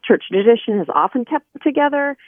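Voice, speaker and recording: A woman's voice: fairly high pitch, expressive, fairly quick, no echo. A woman guest explaining her scholarly work informally and conversationally in an interview over a remote call. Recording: thin phone-like line.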